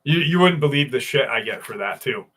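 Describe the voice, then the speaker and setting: A man's voice: mid-range voice, expressive, a little fast, a bit bright. A man hosting a live stream, telling stories in a loose, profane way and greeting viewers by name. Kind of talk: casual live stream chat and storytelling.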